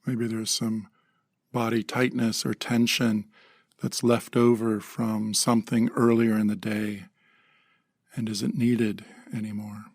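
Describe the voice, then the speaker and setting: A man leading a guided meditation in a calm, gentle manner, in short measured phrases with pauses. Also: lowish voice, husky, dry recording, studio quality.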